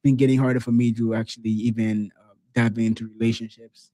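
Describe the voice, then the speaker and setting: A man from Tanzania hosting a casual interview, speaking in an animated, slangy and opinionated way. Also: mid-range voice, brisk, dry recording.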